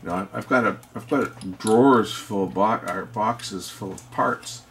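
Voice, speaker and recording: A middle-aged or older man giving casual, unscripted repair commentary, rambling and halting as he thinks aloud. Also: on the low side, a little hiss or hum. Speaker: electronics repair video host.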